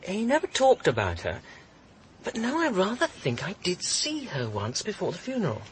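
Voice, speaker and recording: A man's voice: high-pitched, huge pitch swings, normal speed, a little hiss or hum, low-quality recording. A man with a British accent playing a flippant, supercilious young student in a radio drama, arch and witty. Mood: guarded. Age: young adult.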